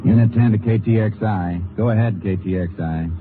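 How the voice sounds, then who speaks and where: A man acting as a stern, steady American Texas Ranger in a radio drama, narrating his case and speaking in terse, authoritative lines. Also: on the low side, fairly quick, background noise, lightly acted. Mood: matter-of-fact. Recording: muffled vintage radio broadcast with music.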